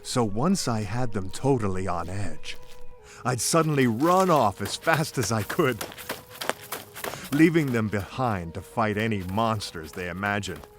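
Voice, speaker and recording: A man's voice: lowish voice, measured pace. A man narrating a scripted first-person story in a theatrical, folksy, playful manner. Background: music bed.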